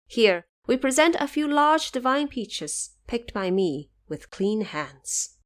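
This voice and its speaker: Woman playing a gracious heavenly queen, expressive and formal. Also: fairly high pitch, takes it slowly. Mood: gracious, grateful. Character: regal heavenly queen goddess, gracious and grateful. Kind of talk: audio drama character acting.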